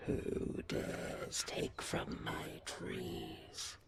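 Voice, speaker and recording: A towering storybook ogre, acted out by a woman, snarls with menace. The voice is heavily gravelly and breathy, drawn out slowly as it issues imperious commands. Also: low-pitched, huge pitch swings, heavily acted. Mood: threatening. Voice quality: growling, hissing, breathy. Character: towering menacing male ogre guarding plum trees.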